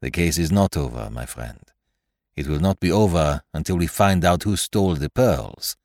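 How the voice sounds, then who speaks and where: Storybook sleuth, played by a man: deep voice, unruffled, formal, quietly shrewd. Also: no room sound. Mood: pensive, dry. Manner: dry, measured, formal. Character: calm, courteous, shrewd foreign male detective.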